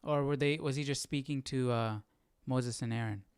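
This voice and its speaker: Casting: male study-stream host, smooth clear voice, close dry sound, informal and questioning. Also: medium pitch, fairly even intonation, normal speed, dry recording. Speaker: Bible study livestream host. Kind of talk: casual thinking aloud, reading scripture.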